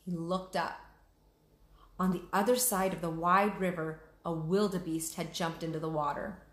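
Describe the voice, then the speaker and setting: A woman's voice: fairly low pitch, fairly even intonation, moderate pace. A woman hosting a read-aloud, narrating a children's storybook expressively. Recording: echoey room.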